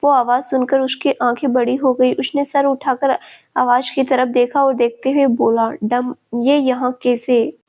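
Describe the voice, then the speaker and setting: A woman narrating a scripted family story at a steady, even reading pace. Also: sits high, moderate pace.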